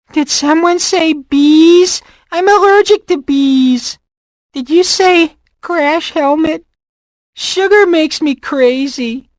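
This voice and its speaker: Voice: sits high, dry recording, clean studio sound. An actor voicing a timid, whiny young cartoon boy in a game, with a nasal, wheezy voice and an anxious, hesitant manner. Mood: excited.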